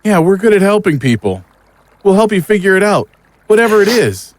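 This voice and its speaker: A man's voice: sits high, very animated, brisk, no echo. A man acting a blunt, wry sidekick investigator in an audio drama. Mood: earnest.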